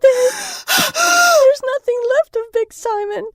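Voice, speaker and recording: A horrified woman, played by an actress, wails in grief in a horror radio play. Her voice is extremely high and swings widely, with very slow, drawn-out words. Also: expressive, dry recording, studio quality, heavily acted. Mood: horrified, crying. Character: distraught, horrified woman wailing over a gruesome death. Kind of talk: audio horror drama acting.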